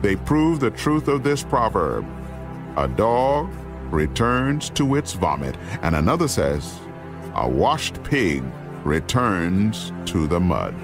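Grave apostle warning his flock, lowish voice, oratorical, deliberate, dramatized scripture, faint music underneath. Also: big pitch swings, full character voice. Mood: stern, denouncing.

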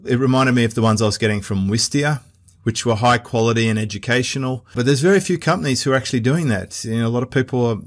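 A man's voice: mid-range voice, fast, no room sound. A man in his forties with an Australian accent, hosting a conversational interview. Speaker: podcast host, interviewer. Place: small foam-treated recording booth.

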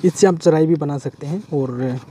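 A man with a very dark, mellow tone and a fairly high voice chats his way through a how-to. He is outdoors by a river, and there is faint noise behind him. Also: moderate pace. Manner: chatty and repetitive, often says "friends". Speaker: fishing channel host. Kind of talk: casual how-to demonstration.

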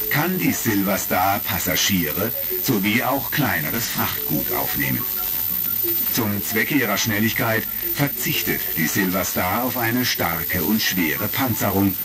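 A man reading scripted narration for a German science-fiction audio drama. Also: on the low side. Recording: retro crackly recording with music.

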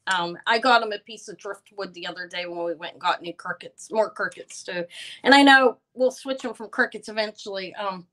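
Loud, bright-voiced woman hosting a live sale. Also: mid-range voice. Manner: chatty, rambling, loud voice. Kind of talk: casual live-stream chat greeting viewers.

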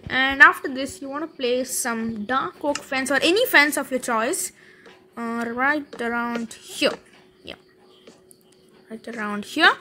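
Woman, high bright voice, casual tutorial narrator, chatty with fillers, faint hiss behind. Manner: chatty, fillers, quick laughs, thinks aloud while building. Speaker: Minecraft building tutorial creator.

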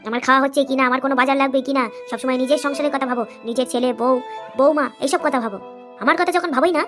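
High, pouting, demanding mistress played by a woman. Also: natural voice. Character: demanding, sulky, greedy mistress of an old man. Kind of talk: amateur comedy cartoon acting.

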